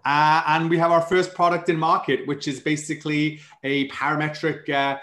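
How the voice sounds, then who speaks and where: A man's voice: fairly high pitch, level tone, moderate pace, bright, forceful tone. A man with a Welsh accent, a startup founder speaking as an interview guest, upbeat and fluent as he explains his business. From Wales. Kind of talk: casual interview chat. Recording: roomy echo.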